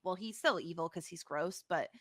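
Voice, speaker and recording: A woman's voice: lowish voice, normal speed. A woman co-hosting a casual podcast chat, talking in an emphatic, slangy way. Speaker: podcast co-host. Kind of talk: casual chat about a TV episode.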